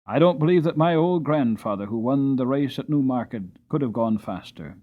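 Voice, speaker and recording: A man giving an expressive, dramatic reading of a classic storybook as narrator. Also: mid-range voice, normal speed, phone-quality sound. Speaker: audiobook reader.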